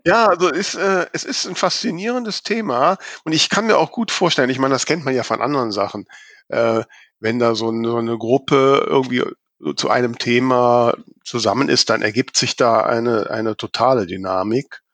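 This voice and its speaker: A man's voice: medium pitch, moderate pace, fairly bright tone, no echo. A man hosting a podcast in a casual, conversational style. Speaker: podcast host.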